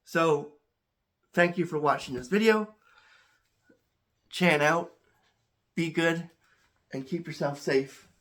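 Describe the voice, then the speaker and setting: A man hosting a home chemistry channel talks casually to camera, a little congested, halting with many restarts and repeated words. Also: on the high side, a bit bright.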